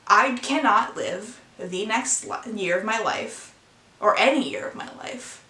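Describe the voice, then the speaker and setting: A teenage woman speaks in a bright, clear voice, earnest and emotional, pausing and restarting her sentences. She records a confessional vlog in her own room, and the room gives her voice a noticeable echo. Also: medium pitch. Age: late teens. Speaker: vlogger. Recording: echoey room sound.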